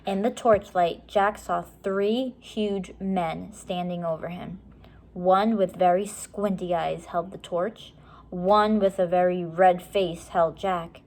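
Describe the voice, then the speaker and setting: A woman reading a children's chapter book aloud with measured, expressive storytelling. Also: fairly low pitch. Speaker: story reader.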